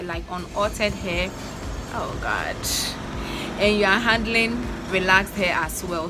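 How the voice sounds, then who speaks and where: A young woman with a Ghanaian accent giving earnest, coaching advice in a casual vlog, repeating her points. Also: medium pitch. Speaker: natural hair vlogger. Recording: music playing under the voice. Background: background music.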